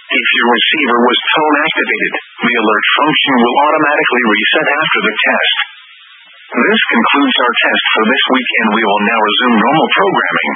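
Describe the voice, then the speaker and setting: A man's voice: mid-range voice, big pitch swings, fairly quick, breathy edge. A man reading a scripted emergency test announcement as a weather radio announcer. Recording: muffled tinny radio broadcast, faint music.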